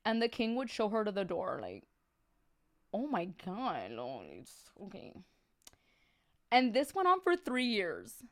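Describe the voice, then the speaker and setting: A woman's voice: medium pitch, wildly swooping pitch, measured pace, long pauses, a bit bright. A woman reading a story on a live stream, throwing in sassy, slangy, exasperated asides.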